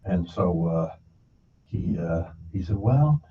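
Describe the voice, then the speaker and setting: A man's voice: low voice, animated, slow. A man hosting a broadcast, chatting casually in a folksy, rambling storytelling way and acting out what people said. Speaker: broadcast show host. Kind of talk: casual rambling anecdotal conversation. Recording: dull, distant mic, faint noise.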